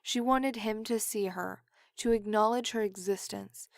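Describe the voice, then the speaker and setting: A woman's voice: medium pitch, fairly even intonation, a little slow, vocal fry, dry recording. Woman narrating, very dry close sound. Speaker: audio drama narrator. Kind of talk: scripted third-person story narration, with retakes.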